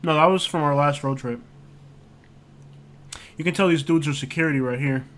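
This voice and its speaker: A man's voice: on the high side, normal speed, a bit bright. A man hosting a casual livestream, rambling and chatting loosely with lots of filler words while he browses and reacts. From United States. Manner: rambling, heavy on fillers like "like" and "you know". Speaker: livestream host. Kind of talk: casual live-stream chat while browsing street views.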